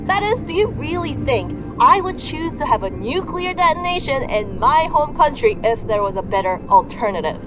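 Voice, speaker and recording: A woman's voice: sits high, big pitch swings, normal speed, hardly any pauses, dull audio, over music. A woman playing a dutiful soldier in a video game, speaking firmly and formally as one devoted to her orders. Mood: defensive, insistent. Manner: firm, formal, insistent. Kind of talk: video game cutscene acting.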